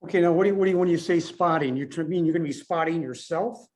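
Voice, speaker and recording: Higher-voiced man, mellow, halting club presenter. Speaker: contest organizer presenting and taking questions.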